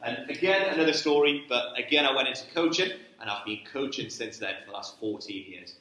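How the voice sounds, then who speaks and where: A man's voice: medium pitch, fairly quick, pushed and bright. A man in his thirties with a Geordie accent, from Newcastle, giving a chatty church talk about sports ministry and often checking in with "okay?". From Newcastle, England. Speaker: guest speaker, sports ministry director. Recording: very echoey church hall.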